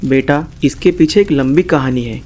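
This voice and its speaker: A man's voice: medium pitch, normal speed, noisy background, lightly acted. A man playing a kind, reassuring middle-aged father telling his tale in a moral story. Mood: reminiscing. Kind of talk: animated moral story acting. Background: prominent music.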